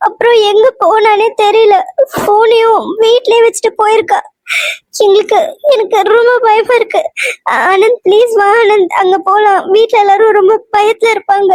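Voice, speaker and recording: A woman's voice: extremely high pitch, level tone, normal speed, darkish tone, dry recording, rough recording. A woman playing an anxious young married woman who takes charge, speaking earnestly in an audio drama. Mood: tearful. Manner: insistent, earnest.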